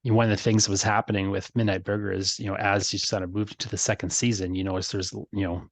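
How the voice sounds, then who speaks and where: A man's voice: lowish voice, level tone, fast, dry recording. A man hosting a podcast interview in a casual conversational way, circling discursively toward his questions. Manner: discursive, rambling build-up to questions.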